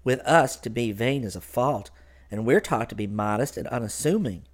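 A man's voice: mid-range voice, animated, normal speed, natural voice. A man voicing an earnest, modest and polite little girl turned lark in a storybook. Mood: frank, earnest. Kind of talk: storybook character voice.

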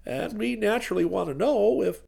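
A man's voice: high voice, animated, fairly quick, gravelly, a little mellow, husky, heavily acted. A man voicing an emphatic American booster businessman. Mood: businesslike.

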